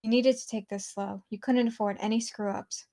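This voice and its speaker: A woman's voice: medium pitch, fairly even intonation, moderate pace, dark tone. A woman casually narrating and commenting on a visual novel, informal and prone to giggling.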